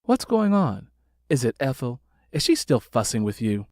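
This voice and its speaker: A man's voice: medium pitch, big pitch swings, moderate pace, a little mellow, no room sound, clean studio sound, lightly acted. A man voicing an outspoken, protective grown daughter in an audiobook, speaking in a direct, emphatic way. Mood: concerned.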